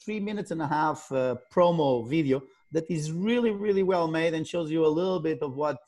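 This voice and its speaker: A man's voice: on the high side, darkish tone. A middle-aged man with a Portuguese accent, speaking as a guest on a video call, often stalling on repeated words. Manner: halting, many repeated "and" restarts. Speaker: sailing company CEO, guest.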